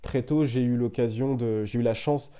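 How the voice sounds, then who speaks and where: A young man from France chatting casually as an interview guest, relaxed and meandering, full of fillers and "you know.". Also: medium pitch, moderate pace, clear, resonant tone. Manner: relaxed, meandering, frequent "euh" and "tu vois". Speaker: interview guest, media founder.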